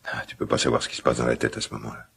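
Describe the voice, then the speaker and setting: An actor playing a middle-aged father in a radio drama, guilt-ridden and awkwardly tender, speaking hesitantly in a gravelly smoker's voice. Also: rumbling low, monotone, fairly bright tone, full character voice. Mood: pained, tender. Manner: hesitant, halting, confiding. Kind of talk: naturalistic French radio drama dialogue.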